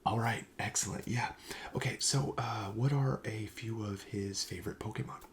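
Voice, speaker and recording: A man playing a friendly, reassuring card shop clerk in a soft-spoken ASMR roleplay, breathy and often near a whisper, with casual, hesitant sales patter. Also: on the low side, normal speed, slight background noise, close to an everyday voice. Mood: agreeable, casual.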